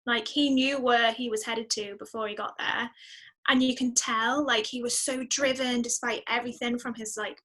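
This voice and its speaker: A woman's voice: a little high, fairly even intonation, normal speed, fairly bright tone. A woman musician chatting casually as an interview guest, effusive and emphatic, repeating words for emphasis. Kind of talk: casual podcast interview chat.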